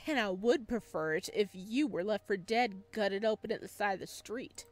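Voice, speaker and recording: A woman's voice: medium pitch, normal speed, faint music behind, close to an everyday voice. A woman voicing a menacing, possessive man from a visual novel, sneering and drawling with mocking hostility. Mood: venomous.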